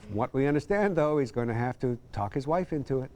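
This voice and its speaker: A man's voice: mid-range voice, animated, fast, warm tone. A man with an American accent anchoring a scripted television newscast. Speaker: news anchor. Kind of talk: scripted TV news delivery.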